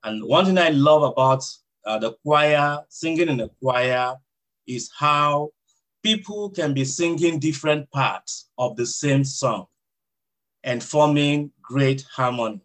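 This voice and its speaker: A man with a Nigerian accent, a hospital chaplain, answering interview questions in a reflective, measured way with frequent fillers. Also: on the high side, a bit bright.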